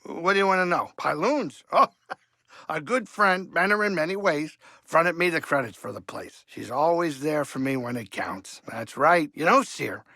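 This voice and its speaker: An actor playing a cranky but soft-hearted older alien saloon keeper in a video game, gravelly, wisecracking and folksy. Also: male voice, fairly high pitch, normal speed, dry recording, clean studio sound, heavily acted. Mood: wry banter. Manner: grumbling, wisecracking, excitable, folksy. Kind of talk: video game character dialogue.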